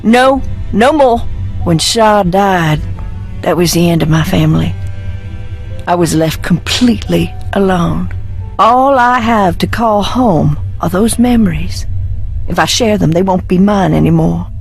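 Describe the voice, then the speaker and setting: An actress playing a bitter, mocking, unstable young heiress in hiding, with theatrical delivery in a video game. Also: female voice, lowish voice, unhurried, gravelly. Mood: bitter, wounded. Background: background music.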